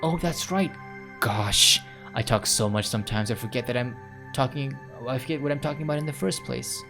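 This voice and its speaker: A man's voice: mid-range voice, brisk, music in the background, lightly acted. Man reading as chatty scatterbrained young woman. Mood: flustered. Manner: rambling, gushing.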